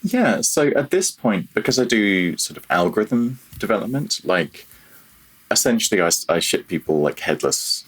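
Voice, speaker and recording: Low-voiced man, halting and self-deprecating. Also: big pitch swings, a bit bright, slightly rough. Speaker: interview guest, freelance audio developer. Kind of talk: casual conversational interview.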